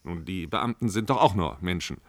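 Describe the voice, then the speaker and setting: An actor in a radio play as an evasive government spokesman, speaking in a measured, bureaucratic, placating way. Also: deep voice, expressive, no echo, clean studio sound. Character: evasive, appeasing male government spokesman playing down a crisis. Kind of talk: radio play acting as a panel discussion guest.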